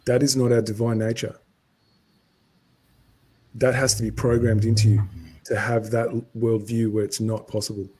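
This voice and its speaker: Husky, dark-voiced man lecturing. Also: on the low side, level tone, normal speed, long pauses, poor audio. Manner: lecturing, answers viewer comments. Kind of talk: live stream talk.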